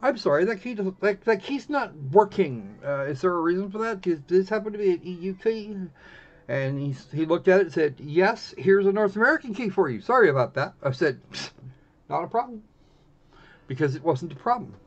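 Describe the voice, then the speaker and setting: A man hosting a casual game live stream, talking in a rambling, halting way and repeating himself as he goes. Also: high-pitched, lively intonation. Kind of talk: casual live-stream commentary while setting up a visual novel. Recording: dull lo-fi mic with music behind. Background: background music.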